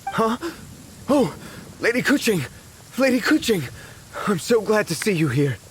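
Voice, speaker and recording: A man's voice: sits high, sing-song, measured pace, a little hiss or hum. A man voicing an anxious, deferential fireworks organiser in a game, flustered and pleading. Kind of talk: game character voice acting.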